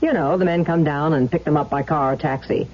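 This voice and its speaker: Blunt gossiping neighbor, lower-pitched, chatty, scornful, radio drama, muffled vintage sound. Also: female voice, brisk. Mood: gossipy, scornful. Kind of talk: old-time radio drama acting. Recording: muffled old radio recording.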